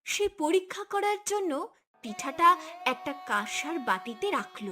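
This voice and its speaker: A woman's voice: high voice, big pitch swings, measured pace, a bit bright, soft background music. A woman narrating a scripted cartoon story.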